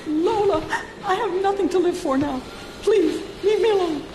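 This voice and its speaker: A man's voice: extremely high pitch, normal speed, roomy sound, big-room sound, noisy background, voice pushed up. A man doing a grief-stricken young woman. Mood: despairing. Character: young female fugitive, grieving and desperate.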